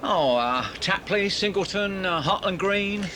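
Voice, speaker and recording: A man's voice: high-pitched, animated, unhurried, pushed and bright, a little hiss or hum, full character voice. A man acting an easygoing railway worker in an audio drama, answering offhandedly with plenty of fillers. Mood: offhand. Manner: offhand, hesitant fillers, chuckling.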